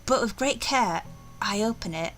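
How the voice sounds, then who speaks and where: Gamer woman reading a visual novel out loud, expressive, bright sharp voice, faint music under it. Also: mid-range voice, normal speed. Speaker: gaming video host. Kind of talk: dramatic read-aloud with casual commentary.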